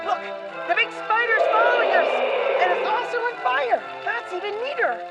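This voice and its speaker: A woman playing a reckless, gleeful young boy adventurer in a radio play, cheeky and excitable. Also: extremely high pitch, normal speed, highs cut off, over loud music. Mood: thrilled.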